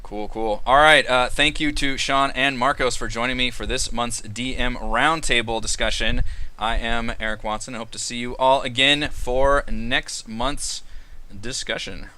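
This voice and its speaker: A man's voice: medium pitch, fairly even intonation, a little slow, bright, forceful tone, clean tone. A man hosting a casual roundtable discussion, explaining things in an informal way with frequent asides. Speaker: host of a tabletop RPG discussion.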